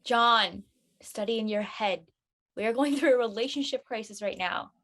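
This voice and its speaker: Woman as a sensible high school girl, the peacemaker, voice a bit high. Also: moderate pace, a bit bright. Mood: mediating. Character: Lexi, responsible peacemaking teenage schoolgirl, club officer. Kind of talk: audio drama table read acting.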